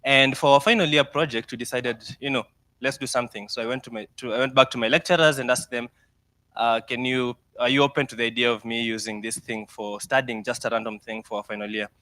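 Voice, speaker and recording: Young Kenyan founder, bright forceful tone, dry close sound, candid and upbeat. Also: male voice, mid-range voice, fast, no room sound. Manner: lively, self-deprecating, mixes in Swahili. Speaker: startup founder guest speaker. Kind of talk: mentorship talk with slides.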